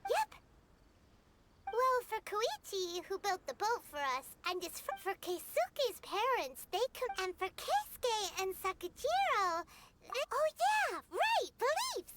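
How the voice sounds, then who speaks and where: A super squeaky tiny floaty game sidekick, chirpy and nosy, bouncy pitch, some game noise behind. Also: female voice, big pitch swings, slow pace, exaggerated voice. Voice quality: squeaky, childlike. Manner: talks of herself by name, eager. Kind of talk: game cutscene voice acting. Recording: game audio with clear background noise.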